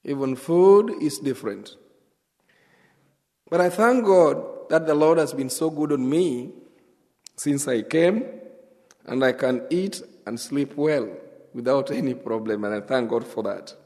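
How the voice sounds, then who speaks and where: Slow, earnest Kenyan pastor in a church hall. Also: male voice, medium pitch, mellow. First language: Luo. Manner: slow, earnest, with pauses and restarts. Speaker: guest pastor. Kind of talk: church testimony. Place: church sanctuary.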